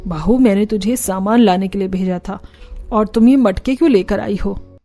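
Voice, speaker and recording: A woman's voice: medium pitch, moderate pace, warm tone, soft background music, voice pushed down, lightly acted. A woman voicing a caring older mother-in-law in a cartoon story. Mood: questioning. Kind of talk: Hindi cartoon story dialogue.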